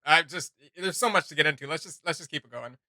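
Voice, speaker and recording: A man hosting a reaction video, chatting casually in a jokey, excitable way. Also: fairly high pitch, fast, no echo, clean studio sound. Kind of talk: casual, animated reaction chat.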